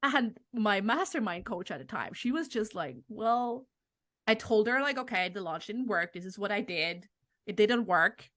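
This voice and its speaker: A woman's voice: medium pitch, animated, moderate pace, a bit bright. A woman in her thirties, a podcast host being interviewed, talking frankly in a casual conversation, swearing now and then and laughing often. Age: 30s.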